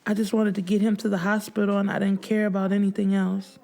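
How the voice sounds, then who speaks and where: A woman's voice: mid-range voice, little pitch movement, fast talker, darkish tone. Sorrowful woman narrating an audiobook. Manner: emotive, sorrowful storytelling. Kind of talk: dramatic first-person fiction narration.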